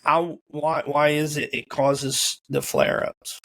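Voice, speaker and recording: Gravelly young man hosting casual chat. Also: a little high, flat delivery, takes it slowly, fairly bright tone. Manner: informal, repeats words as he thinks. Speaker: host. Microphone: video call or home camera.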